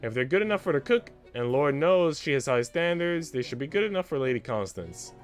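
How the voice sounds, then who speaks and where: Man voicing an arch, flirtatious servant woman, animated. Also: on the high side, fairly quick, faint music behind. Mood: arch, boastful.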